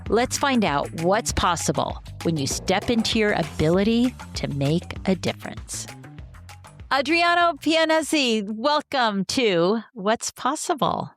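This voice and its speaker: A woman's voice: fairly high pitch, lively intonation, measured pace, soft background music. A woman hosting a leadership podcast, reading a scripted intro and chatting with a guest in an upbeat, enthusiastic way. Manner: upbeat, enthusiastic, warm questions. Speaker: podcast host and coach. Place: home office, video call.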